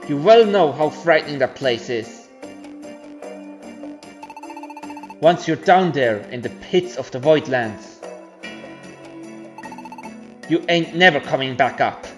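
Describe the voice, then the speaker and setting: A man's voice: fairly high pitch, animated, normal speed, natural voice. A man voicing a smug, taunting villain creature with a folksy drawl in a game story, with music behind him. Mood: menacing. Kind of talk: voiced video game story playthrough.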